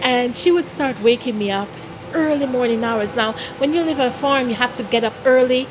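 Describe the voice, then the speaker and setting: A woman from Jamaica telling personal stories as an interview guest, in a deliberate, expressive way. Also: fairly high pitch, noisy background. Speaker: interview guest, founder of a philanthropy organization.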